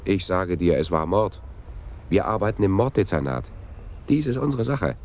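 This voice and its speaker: A keen, businesslike homicide detective in a radio play. The voice is extremely low and creaky, with lively intonation and faint noise behind it. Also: male voice, normal speed. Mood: keen, probing. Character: male homicide sergeant, keen, insistent, businesslike. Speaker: police detective. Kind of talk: 1980s radio drama acting.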